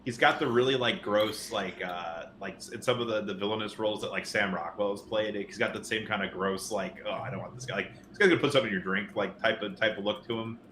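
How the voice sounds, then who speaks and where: A man's voice: lowish voice, fast, few pauses, pushed and bright. A man in his thirties co-hosting a podcast, chatting casually about films and often repeating words as he goes. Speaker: podcast co-host. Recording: roomy echo, faint noise.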